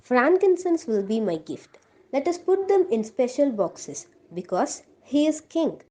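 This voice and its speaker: A woman's voice: sits high, very animated, measured pace, dark tone. A woman voicing a reverent, gift-bearing Magi king puppet in a school nativity play. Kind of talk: school puppet show acting.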